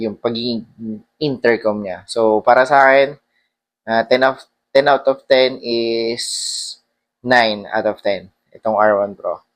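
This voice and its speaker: A man giving a casual vlog review, talking informally and rambling with hesitations. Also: medium pitch. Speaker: motorcycle vlogger. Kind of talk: casual vlog product review, mixing Tagalog and English.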